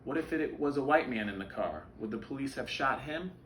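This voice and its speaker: A man's voice: medium pitch, expressive, brisk, a bit bright, reverberant, distant mic, slight background noise, voice pushed up, close to an everyday voice. A man voicing an earnest, curious young boy in a picture book, direct and questioning.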